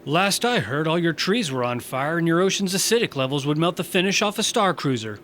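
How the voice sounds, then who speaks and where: A man's voice: on the high side, animated, brisk, a bit bright, a little hiss or hum. A man playing an easygoing young Martian bar owner in a science fiction audio drama, talking casually with dry sarcasm. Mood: wry banter.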